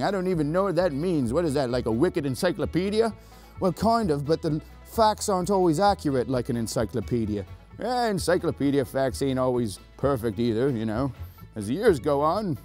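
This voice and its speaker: A man's voice: fairly high pitch, big pitch swings, moderate pace, darkish tone, soft background music. A man voicing a boastful elderly former cowboy who wrangled spiders and rambles in a folksy way. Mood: lecturing. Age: older.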